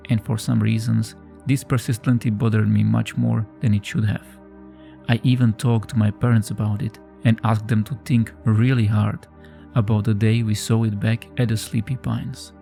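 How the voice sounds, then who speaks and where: A man reading a scripted horror story as a narrator, in a measured, subdued storytelling manner. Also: lowish voice, vocal fry, mellow. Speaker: creepypasta narrator. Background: background music.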